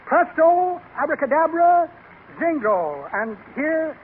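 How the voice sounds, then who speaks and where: Imbroglione pomposo dalla voce acutissima. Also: male voice, extremely high pitch, sing-song, takes it slowly, heavily acted. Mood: blustering, evasive. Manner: grandiloquent, evasive patter. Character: pompous, shifty male con-man amateur magician. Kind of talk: 1930s radio comedy acting. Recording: tinny, noisy 1930s radio recording.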